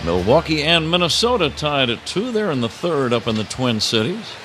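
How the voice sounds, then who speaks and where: A man's voice: fairly high pitch, sing-song, normal speed, music in the background. An older man doing live radio play-by-play of baseball, breaking into casual banter, from a stadium broadcast booth. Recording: clear ambient ballpark noise behind.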